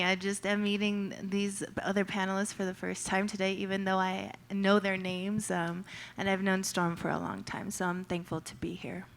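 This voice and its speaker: A woman's voice: fairly low pitch, creaky. A woman, an artist and storyteller, introducing herself on a workshop panel with playful, grand titles. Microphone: handheld mic.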